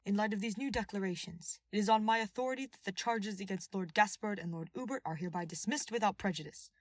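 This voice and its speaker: A woman's voice: mid-range voice, fast talker, no room sound, pitched above the performer's own voice, close to an everyday voice. Woman playing a formal, commanding young king. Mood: stern, commanding. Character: commanding young male king, formal, stern yet earnest.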